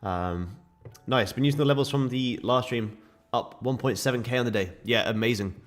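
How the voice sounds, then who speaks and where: A man with a sore, croaky throat, casually walking viewers through live trading charts. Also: mid-range voice, moderate pace. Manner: casual, chatty explaining to viewers. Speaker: day trading streamer.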